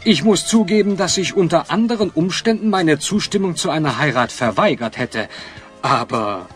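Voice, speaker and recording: A man's voice: a little high, lively intonation, moderate pace, soft background music, natural voice. An actor playing a dignified, contrite middle-aged magnate and father in an audio drama, speaking formally and grandly. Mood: conceding, fatherly. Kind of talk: German audio drama acting.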